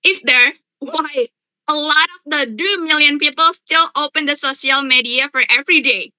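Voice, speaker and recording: A young woman argues in a competitive debate, her voice high and very bright. She speaks haltingly, correcting herself mid-sentence and leaning on rhetorical questions. The sound is dry and close. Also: no echo, lo-fi sound. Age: young adult.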